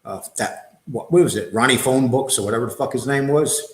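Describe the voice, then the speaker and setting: A man's voice: medium pitch, a little fast, roomy sound. A man hosting a livestream talk show, blunt and rambling, throwing out rhetorical questions and reading viewer comments. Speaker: show host.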